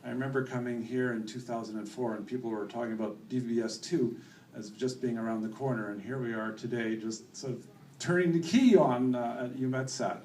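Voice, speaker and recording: A middle-aged Canadian man giving a company presentation at a conference, relaxed and full of fillers. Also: mid-range voice, a little fast. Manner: relaxed, many fillers, self-corrects. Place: conference hall. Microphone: room mic, later handheld. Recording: echoey hall from far off, faint noise.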